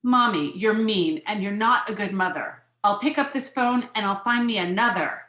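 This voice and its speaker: A woman's voice: mid-range voice, sing-song, a little slow, reverberant, voice pushed up. A woman voicing a petulant, dramatic little girl in a children's storybook, with a pleading manner. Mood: indignant.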